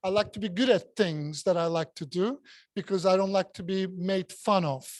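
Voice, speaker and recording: A man's voice: high voice, steady tone, normal speed, no echo, studio quality. A man who speaks English as a second language, giving a jokey, self-mocking speech at a club meeting and repeating words for emphasis. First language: not English.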